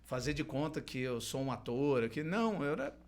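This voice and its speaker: A middle-aged man from Londrina in Brazil talks about his years in theater and clowning. His voice sits at a medium pitch. He hesitates often, restarting phrases and padding them with fillers as he recalls things. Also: sing-song, normal speed, crowd noise. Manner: hesitant, many restarts and fillers, laughs easily. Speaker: guest, clown and theater teacher. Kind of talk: casual storytelling interview.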